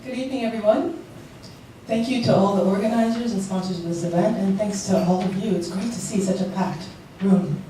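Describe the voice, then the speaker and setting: A woman's voice: medium pitch, fairly quick, dark tone, slight background noise. A woman from Liberia speaking earnestly on a panel to a packed room, with many ums and restarts. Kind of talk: panel remarks. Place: large packed event room. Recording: echoey hall, voice picked up from far off.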